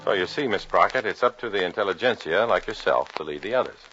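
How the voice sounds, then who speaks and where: An actor with an American accent playing a wry, clipped, hard-boiled undercover agent in a 1950s radio drama. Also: male voice, deep voice, lively intonation, quick pace. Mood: brisk, businesslike. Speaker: lead actor and narrator. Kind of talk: 1950s radio drama acting, noir narration. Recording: muffled 1950s radio recording, music behind.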